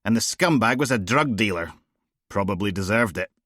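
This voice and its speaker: A man voicing a brash, crude middle-aged woman who runs a police team, loud and mocking. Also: on the low side, animated, normal speed, no echo, clean studio sound. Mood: scornful.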